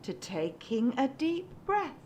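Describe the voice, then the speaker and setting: Radio drama: woman playing principled, reproving support worker, very high register, animated, exasperated, slightly noisy recording. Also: takes it slowly, exaggerated voice. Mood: exasperated, stern. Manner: reproving, articulate, earnest. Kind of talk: naturalistic radio drama acting.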